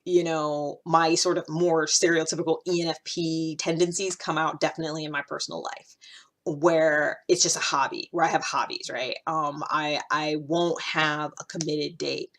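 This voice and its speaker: The lowish-pitched woman you'd hear on a homemade podcast, talking animatedly from a small room as if out on a walk with a friend. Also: level tone, normal speed, fairly bright tone. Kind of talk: casual talk to camera. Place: small indoor room with a cloth backdrop.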